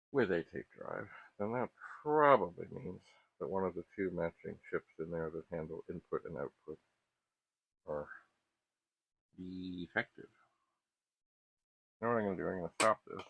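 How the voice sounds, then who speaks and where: Middle-aged guy, raspy and creaky, kinda muttering through a tech demo, halting, tinny phone-like sound. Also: low-pitched. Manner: musing aloud, halting, self-questioning. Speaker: host of a vintage computer repair channel. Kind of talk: casual unscripted hands-on tech commentary. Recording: muffled, phone-like narrow band.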